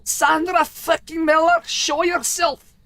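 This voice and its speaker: A man voicing a loud, declaiming dwarf adventurer in a game, with a hoarse, throaty voice and a mock dwarf accent. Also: very high-pitched, fairly even intonation, takes it slowly, no room sound, pitched above the performer's own voice, full character voice. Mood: bellowing, defiant. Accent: put-on mock dwarf accent. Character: hoarse, bellowing dwarf adventurer hunting a ghost.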